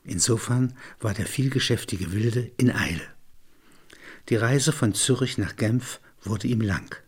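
A man reading literary prose as a narrator, with measured, deliberate phrasing. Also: mid-range voice, slightly rough.

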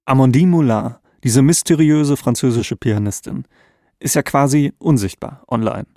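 A man's voice: mid-range voice, normal speed, mellow, no echo, studio quality. A man co-hosting a podcast, narrating a scripted story in a conversational way. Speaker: podcast co-host. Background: faint background music.

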